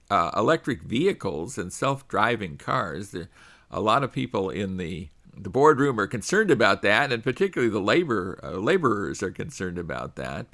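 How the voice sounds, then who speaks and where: A middle-aged man hosting a radio show in a bright, forceful voice. He talks in a loose, conversational way, often pausing on uh. It sounds like a studio microphone. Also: lowish voice. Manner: conversational, with frequent uh fillers.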